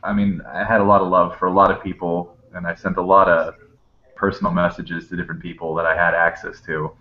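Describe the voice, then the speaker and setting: A man's voice: sits low, flat delivery, brisk. A man chatting casually in an effusive, informal way. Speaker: cast member. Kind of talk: casual chat. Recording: echoey lo-fi call, faint room noise.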